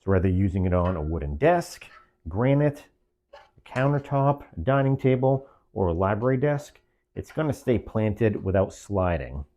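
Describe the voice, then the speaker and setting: A man with a low-pitched, dark and relaxed voice walks through a product demonstration. He sounds like an online reviewer, explanatory and orderly, listing features in short phrases. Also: normal speed.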